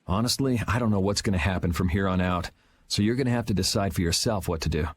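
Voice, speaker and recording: A man voicing a cool, resolute young swordsman in a video game. His voice is lowish and his talk is blunt and steady. A faint hum of ambience sits behind him. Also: fast talker, close to an everyday voice. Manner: calm, blunt and self-assured.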